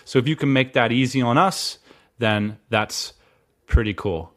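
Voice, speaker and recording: Man in his thirties, podcast co-host, middle-pitched voice, playful and teasing. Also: unhurried. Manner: playful teasing, laughs often. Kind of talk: casual business podcast chat.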